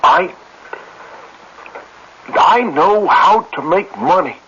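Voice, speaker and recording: A man's voice: sits high, animated, drawn-out words, sharp tone, heavily acted. An actor playing a helpless rich businessman in a radio drama, hesitant, stammering and protesting. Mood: desperate. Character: flustered, helpless rich businessman among tribespeople. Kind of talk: 1970s radio drama acting. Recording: muffled, noisy old radio recording.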